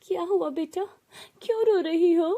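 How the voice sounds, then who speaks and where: A woman voicing a gentle, protective widowed mother in a family story, plaintive and pleading. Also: extremely high pitch, moderate pace, pitched above the performer's own voice. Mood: worried, tender.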